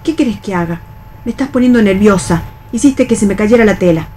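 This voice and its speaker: An actress playing a resigned, bossy veteran factory worker in a radio play, with an Argentine accent and a colloquial, admonishing way of talking. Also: female voice, mid-range voice, animated, fairly quick, darkish tone, a little hiss or hum, close to an everyday voice. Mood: nervous, commanding. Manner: colloquial, admonishing, world-weary. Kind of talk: radio read theatre.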